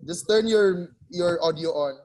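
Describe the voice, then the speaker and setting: A man's voice: high-pitched, measured pace, a little mellow. A man teaching an online English class over a video call, coaxing students to answer and often repeating names and words.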